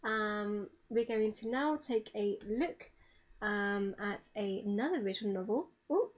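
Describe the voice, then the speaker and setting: A woman's voice: medium pitch, animated, slow pace. A woman presenting informally online, explaining things in a slightly hesitant way.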